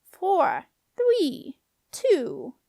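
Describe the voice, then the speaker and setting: Storybook doorkeeper, male figure played by a woman: very high squeak, grumpy, sneering, sing-song taunts. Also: wildly swooping pitch, very slow, gravelly, warm tone, dry recording, clean studio sound, exaggerated voice. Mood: taunting. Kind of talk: storybook character voice.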